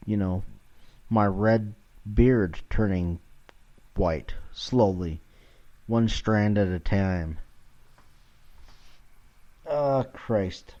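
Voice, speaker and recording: A man's voice: fairly low pitch, dark tone, highs cut off. A man around forty rambling casually as a solo podcast host, irreverent, sweary and self-deprecating, recorded at home in his kitchen.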